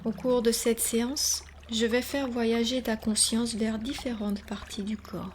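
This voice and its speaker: A woman leading a guided relaxation as a yoga nidra guide, speaking calmly and soothingly with long pauses between instructions. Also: on the high side, slight background noise.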